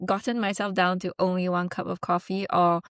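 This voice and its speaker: A woman's voice: lowish voice, level tone, fairly quick, smooth, dry recording, studio quality. A woman from Singapore chatting casually as an interview guest, talking in an informal, chatty way. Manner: chatty, informal, frequent "like" and "you know". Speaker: interview guest, fantasy novelist. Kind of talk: casual chat.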